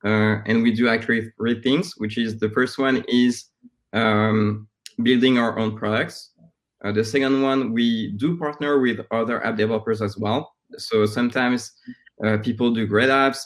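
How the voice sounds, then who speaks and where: A man in his thirties from France with a French accent, giving halting, conversational answers as a startup founder being interviewed. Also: mid-range voice, fairly even intonation. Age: 30s.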